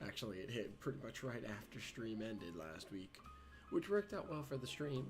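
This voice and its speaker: A man hosting a casual livestream, hoarse and raspy from a recent cold, talking hesitantly with many ums and stopping to clear his throat. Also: medium pitch, big pitch swings, moderate pace, music in the background. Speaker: livestream host.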